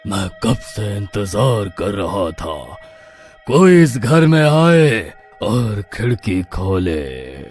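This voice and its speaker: A man voicing a menacing male graveyard ghost who talks slowly and mocks with deep laughter. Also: very animated, darkish tone, breathy edge, faint music behind, full character voice. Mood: gloating. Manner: slow, deep, mocking laughter. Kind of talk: Hindi storybook voice acting.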